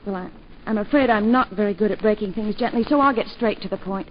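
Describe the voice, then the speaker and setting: An actress playing a forthright young upper-class English woman in a 1940s radio drama, quick and stumbling over her words. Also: mid-range voice, a little hiss or hum, close to an everyday voice. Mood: earnest. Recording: dull lo-fi 1940s radio broadcast.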